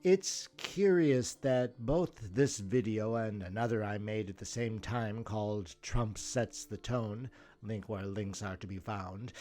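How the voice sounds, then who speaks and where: A man hosting a podcast, delivering measured, wry scripted commentary with rhetorical turns. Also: fairly low pitch, darkish tone. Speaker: podcast host. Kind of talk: scripted commentary over audio clips. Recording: music bed under voice. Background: instrumental music.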